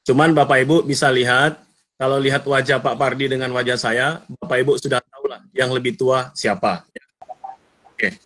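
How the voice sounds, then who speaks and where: A man's voice: medium pitch, fairly even intonation, moderate pace, fairly bright tone. Middle-aged guy from north sumatra, joking around with the crowd on a video call seminar, easygoing mid voice. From North Sumatra, Indonesia. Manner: chatty, joking, addresses audience directly. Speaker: guest speaker. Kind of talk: online seminar talk. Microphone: computer mic on a video call.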